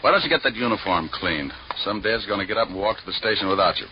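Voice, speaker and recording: An actor playing a tough, weary private detective in a vintage radio noir drama, with dry, sardonic, clipped wisecracks. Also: male voice, fairly low pitch, lively intonation, fast, background noise, lightly acted. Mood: needling. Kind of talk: 1940s-50s radio noir acting and first-person narration. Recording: muffled vintage radio recording, music behind.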